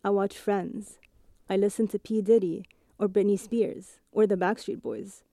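Woman, 30s, from Egypt, mixed British-American accent with Egyptian and Italian traces; deep mellow timbre, calm reflective delivery of a scripted audio essay. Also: vocal fry, no room sound, clean studio sound. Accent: blend of British and American English with Egyptian and Italian touches. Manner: measured, reflective narration. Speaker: audio journalist. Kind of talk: scripted first-person radio essay.

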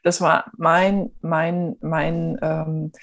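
A woman's voice: low voice, level tone, very slow, highs cut off. A woman in her forties from Germany, a guest in an interview, telling her story in a rambling way with many fillers and restarts. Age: 40s. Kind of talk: casual interview talk.

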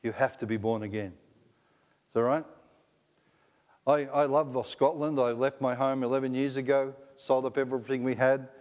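A man's voice: mid-range voice, steady tone, normal speed, long pauses, darkish tone. A middle-aged man with an Australian accent preaching a sermon in a conversational, joking way, often asking the congregation questions. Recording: dull lo-fi recording in a reverberant hall.

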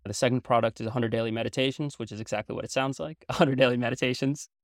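A man's voice: mid-range voice, steady tone, very fast, no echo, clean studio sound. A young man from Belgium, a native French speaker, talking as a guest in a casual interview chat, earnest and explanatory, with a casual manner full of fillers and restarts.

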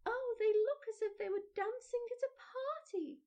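A woman voicing an excitable, wide-eyed little girl in a storybook, exclaiming with wonder. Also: very high-pitched, normal speed, dull audio, pitched above the performer's own voice. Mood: amazed, delighted. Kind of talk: storybook character reading.

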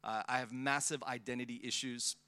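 Thirties guy preaching, bright voice, jokey and self-deprecating, lots of uh and restarts. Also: mid-range voice, steady tone, a little fast, clean tone. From born in the Philippines, raised in Australia. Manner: self-deprecating, joking, many fillers and restarts. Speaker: pastor. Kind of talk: guest sermon, personal storytelling.

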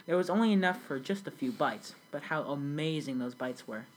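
Brief: teen girl as an immigrant storyteller, low and husky, earnest and scripted. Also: expressive, fairly quick, poor audio. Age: young teen. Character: grown immigrant recalling a South Sudan childhood. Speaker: student playing the interviewee. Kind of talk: school project role-play interview.

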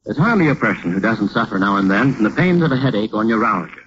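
An American man announcing a scripted old-time radio advertisement in a formal, earnest, deliberate way. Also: fairly low pitch, sing-song, fairly bright tone. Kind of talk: 1930s-40s radio advertisement. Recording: muffled old radio recording, faint noise.

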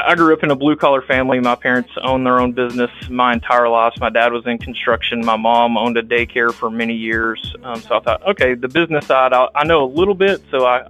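Bright-voiced Texan man. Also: mid-range voice, steady tone, soft background music, poor audio. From Texas. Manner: halting, with frequent restarts and ums. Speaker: guest, rural health clinic director. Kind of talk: casual interview, telling his life story.

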